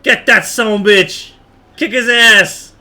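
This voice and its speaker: A man doing live gameplay commentary as a streamer, excitable and joking, with casual swearing. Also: high-pitched, unhurried, soft background music. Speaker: game streamer. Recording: live stream with faint game noise.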